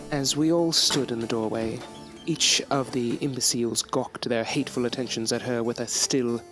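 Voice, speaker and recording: Wistful educated guy telling his story in an audio drama acted by a man, florid and formal, medium pitch, faint music. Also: a bit bright. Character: wistful, erudite gentleman recalling his student days. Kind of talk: first-person audio drama narration.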